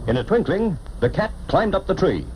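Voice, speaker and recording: A man narrating a children's storybook cartoon in a theatrical, expressive way, on an old film soundtrack. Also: high voice, a little fast, slight background noise, low-quality recording. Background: orchestral music.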